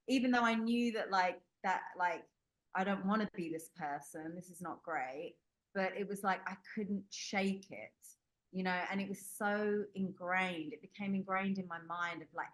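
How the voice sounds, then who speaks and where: A woman's voice: medium pitch, fairly bright tone, rough recording. A young adult woman from the United Kingdom, a guest telling a personal story in an interview, candid and rambling with many fillers and restarts. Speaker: podcast guest.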